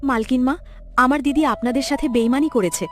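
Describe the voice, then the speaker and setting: A woman's voice: high-pitched, fast, natural voice. A woman voicing a deceitful young village girl in a cartoon, pleading and melodramatic. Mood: falsely earnest. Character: deceitful, scheming young village bride-usurper. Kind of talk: cartoon voice acting. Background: background music.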